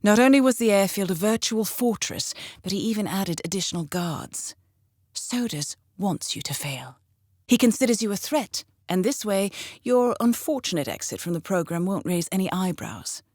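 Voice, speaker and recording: A woman playing a composed, calculating intelligence handler in a video game, giving measured, precise mission briefings with dry, understated wit. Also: on the low side, animated, a little mellow, no room sound. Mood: grave, confiding.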